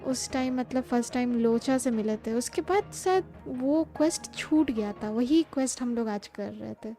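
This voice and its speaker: Female streamer, Indian accent, chatty Hindi-English commentary, high soft-toned voice, faint game music behind. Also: vocal fry. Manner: mixes Hindi and English, chatty, muses aloud. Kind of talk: casual live gameplay commentary.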